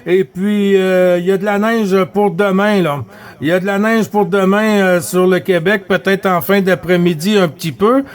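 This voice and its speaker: A man with a Québécois French accent hosts a CB radio net, passing the mic and greeting stations in a relaxed, rambling way. His voice sits quite high for a man. He fills gaps with plenty of hesitations and tag words. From Quebec. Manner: unhurried, chatty, frequent hesitations and tag words. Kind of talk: casual radio chat running a net.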